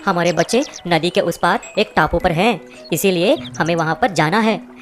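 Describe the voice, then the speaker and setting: A worried mother tortoise from a kids' cartoon, voiced by a woman. She speaks politely and anxiously in a lowish voice. Music plays underneath. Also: normal speed, natural voice. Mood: pleading. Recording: music bed behind.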